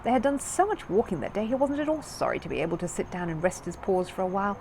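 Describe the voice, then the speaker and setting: A woman's voice: mid-range voice, sing-song, fast, noisy background. A woman narrating a children's story from a script as a storyteller.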